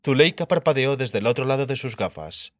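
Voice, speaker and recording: A man's voice: mid-range voice, lively intonation, fairly quick, dry recording, studio quality. A man narrating a fiction audiobook from a script in a storytelling style.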